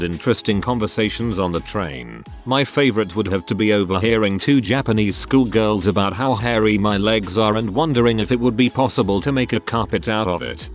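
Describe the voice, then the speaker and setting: A man narrating a string of first-person stories in a scripted, expressive reading. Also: lowish voice. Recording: faint music underneath.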